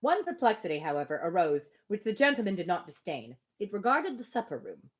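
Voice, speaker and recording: A woman's voice: medium pitch, big pitch swings, a little fast. A woman reading a classic novel aloud expressively, breaking off for casual, chatty asides. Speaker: live book reader.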